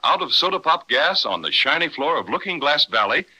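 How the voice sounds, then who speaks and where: A man's voice: fairly high pitch, big pitch swings, a little fast, bright, forceful tone, breathy edge, low-quality recording. A man narrating a children's serial in scripted 1930s radio style. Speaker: announcer, narrator.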